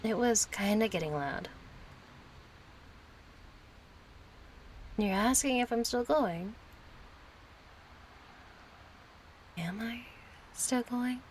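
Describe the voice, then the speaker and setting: A woman's voice: on the high side, animated, a little slow, slight background noise. A woman acting a kind, chatty young friend in an intimate audio roleplay, casual, playful and a little hesitant. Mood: casual, apologetic. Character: kind, chatty young female friend, gently yearning for closeness.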